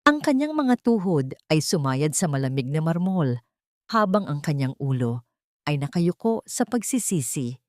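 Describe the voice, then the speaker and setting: A woman reading a scripted, dramatic love story in a measured, solemn, melodramatic way. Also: low voice, big pitch swings, a little mellow, dry recording, clean studio sound. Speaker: story narrator.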